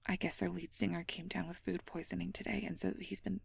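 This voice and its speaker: A woman's voice: on the low side, little pitch movement, no room sound, full character voice. Woman acting a hesitant, stressed young female mage, close and dry. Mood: anxious, weary. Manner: hesitant, halting, breathy half-whispered confiding with sighs. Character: stressed, self-blaming young female air mage confiding in partner. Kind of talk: ASMR role-play, intimate personal-attention acting.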